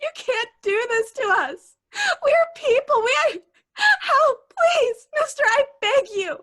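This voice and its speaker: A terrified woman in an old-time radio melodrama. Her voice is extremely high and sharp, and she draws out her desperate pleas. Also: expressive, slow, full character voice. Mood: terrified pleading. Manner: breathless, melodramatic pleading. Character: frightened, pleading woman trapped in mortal danger.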